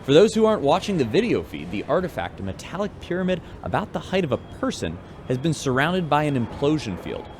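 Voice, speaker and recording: An actor playing a folksy spaceship radio host, delivering scripted news reports in an informal, wry announcer style. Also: male voice, fairly high pitch, sing-song, fairly quick, few pauses, darkish tone, a little hiss or hum. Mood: wry, reporting. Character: folksy male radio newscaster aboard a spaceship.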